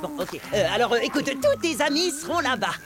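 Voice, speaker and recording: An actress voicing a boastful, excitable young boy in a cartoon, slangy and often stammering as he cajoles. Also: high voice, fairly quick. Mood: pleading. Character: boastful, excitable popular cartoon boy trying to save his party. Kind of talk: French-language cartoon dubbing. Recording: loud music behind.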